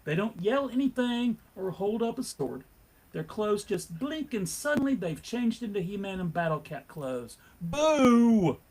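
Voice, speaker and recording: High-pitched, melodramatic male narrator. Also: sing-song, normal speed, a little mellow, poor audio. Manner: melodramatic, tongue-in-cheek. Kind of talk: theatrical narration of comic book table read.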